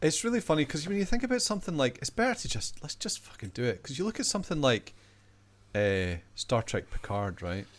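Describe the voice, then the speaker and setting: A British man in his forties, a podcast guest chatting casually, animated and ironic, with some swearing. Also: a little high, fairly quick. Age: 40s. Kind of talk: casual chat.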